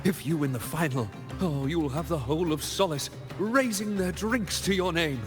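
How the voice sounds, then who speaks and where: A hearty male supporter played by a game voice actor, full of admiration. His voice sits fairly high, with music clearly playing behind it. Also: normal speed, close to an everyday voice. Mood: admiring. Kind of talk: English game voice acting.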